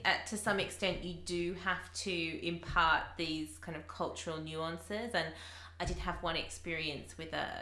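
A woman's voice: on the low side, bright, forceful tone, reverberant. A woman who teaches English, talking through her thoughts with many fillers and restarts in a casual conversation.

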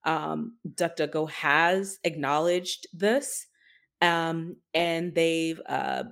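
A woman's voice: sits low, drawn-out words, vocal fry, fairly bright tone, smooth. A woman co-hosting a podcast, talking through news in a casual, informal and wry way. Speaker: podcast co-host. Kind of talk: casual tech news discussion.

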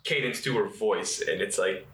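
A man's voice: fairly low pitch, moderate pace, a bit bright. A man guesting on a podcast, casual and chatty, with a nasal, froggy voice and unfinished sentences. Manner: talks in unfinished sentences with many fillers. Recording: roomy, distant mic, faint noise.

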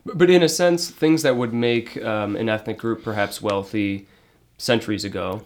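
A man's voice: on the low side, moderate pace. A man interviewing a researcher, summarizing findings and asking probing follow-up questions.

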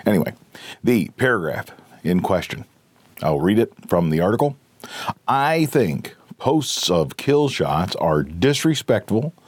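Hoarse low-voiced man hosting a podcast. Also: a little mellow, no room sound. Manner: earnest, emphatic, repeats and rephrases himself. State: hoarse, recovering from a cold. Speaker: podcast host. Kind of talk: opinion podcast monologue.

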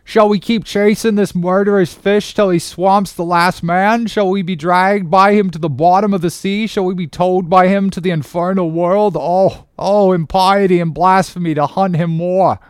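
Man as anguished, pious first mate, high and loud. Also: fairly even intonation, normal speed, few pauses, smooth, dry recording, clean studio sound, close to an everyday voice. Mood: desperate protest. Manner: impassioned, exclamatory, archaic pleading. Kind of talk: dramatic audiobook character reading.

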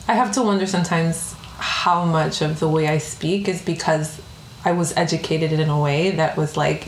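A woman's voice: deep voice, vocal fry. A woman from the Bronx, New York, speaking as a podcast guest in a reflective, conversational way, often adding "like" and "right?". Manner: reflective, frequent "like" and "right?" tags. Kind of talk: conversational interview. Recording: echoey room with faint noise.

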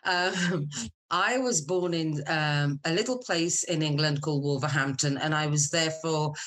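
A middle-aged woman with a low-pitched voice. She talks as an interview guest, casual and candid. Her delivery is chatty and conversational, suited to personal storytelling. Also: a little slow, a bit bright. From Scotland. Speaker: podcast guest, military spouse and business owner.

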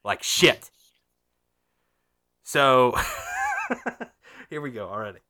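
A man's voice: mid-range voice, big pitch swings, very slow, pushed and bright. A man hosting a casual gaming podcast, rambling and swearing with lots of fillers, a little nasal and sniffly from a cold. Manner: rambling, profane, frequent fillers and restarts. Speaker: podcast host.

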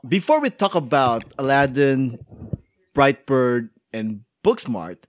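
A man's voice: mid-range voice, slow, no room sound, studio quality, soft background music. A man hosting a podcast in casual chat, joking and laughing often as he talks. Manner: jokey, animated, laughs often. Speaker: podcast host.

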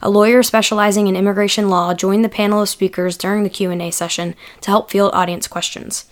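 A woman hosting a podcast, reading a calm, scripted introduction. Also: medium pitch, quick pace. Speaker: podcast host.

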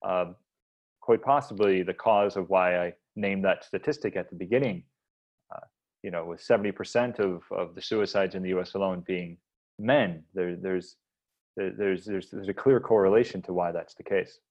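A man with a deep voice hosting a podcast, speaking earnestly and haltingly, often restarting his sentences. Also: a little mellow, highs cut off. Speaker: podcast host. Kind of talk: reflective podcast talk.

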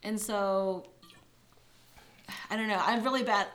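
A young woman speaking as an interview guest in a relaxed, chatty way, full of "like" and quick laughs. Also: medium pitch. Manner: chatty, many fillers, laughs when uncomfortable. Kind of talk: casual interview chat.

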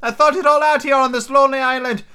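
A man voicing a half-crazed old male castaway, eager and sly, in a high, cracking old-sailor voice. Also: steady tone, fast talker, bright, forceful tone. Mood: excited. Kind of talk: amateur read-aloud character voice.

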